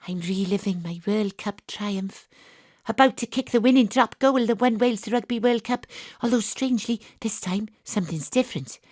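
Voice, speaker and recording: An actress playing a wry, world-weary private detective, deadpan and confiding, in noir audio drama style. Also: female voice, on the low side, husky, dry recording, studio quality. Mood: excited, dramatic. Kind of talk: noir detective narration and dialogue.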